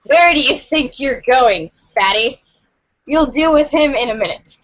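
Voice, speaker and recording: A woman's voice: sits high, expressive, a little slow, a bit bright, rough recording. A woman reading narration aloud in a theatrical style for a podcast. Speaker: podcast cast reader.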